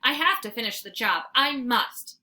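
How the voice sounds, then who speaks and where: A woman reading a children's story aloud like a teacher, with dramatic, expressive narration. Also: on the high side, normal speed. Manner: dramatic, animated.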